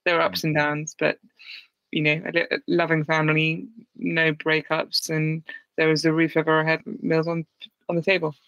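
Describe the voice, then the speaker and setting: A woman's voice: sits low, completely flat delivery, moderate pace, a bit bright, no echo, highs cut off. A British woman in her thirties, a guest on an interview show calling in, talking candidly and chattily with a self-deprecating touch. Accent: British English. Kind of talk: casual interview. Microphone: remote call.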